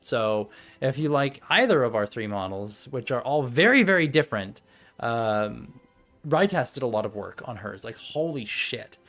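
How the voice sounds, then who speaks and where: A man's voice: mid-range voice, very animated, normal speed, fairly bright tone. A young man streaming live, chatting casually and muttering to himself while setting up, with music playing behind him. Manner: rambling, self-talking while fiddling with setup. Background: background music.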